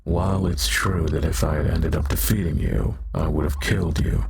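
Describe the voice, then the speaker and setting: A man's voice: fairly even intonation, moderate pace, a little mellow, slight background noise. A man playing a powerful, devoted and possessive demon servant in a roleplay audio drama, speaking formally and reverently with an undertone of menace. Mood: devoted, proud. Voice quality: deep, gravelly, husky.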